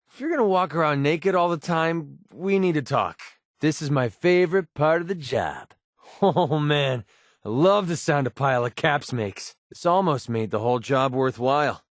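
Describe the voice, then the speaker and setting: An actor playing a cynical, wisecracking young mercenary in a video game, with a sardonic, casual, streetwise delivery. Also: male voice, fairly high pitch, dry recording, studio quality. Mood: amused. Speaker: video game companion character. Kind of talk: video game voice acting, short scripted barks.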